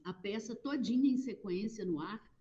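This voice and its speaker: A Brazilian woman from a public radio station, answering interview questions in an animated, explanatory way with frequent fillers. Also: medium pitch, normal speed, muffled recording.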